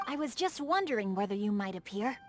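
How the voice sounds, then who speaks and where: A woman's voice: medium pitch, expressive, a little fast, faint music behind, lightly acted. A woman voicing a refined, bookish teenage boy in a video game, eloquent, genteel and a little theatrical. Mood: pleased, serene. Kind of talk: video game character voice acting.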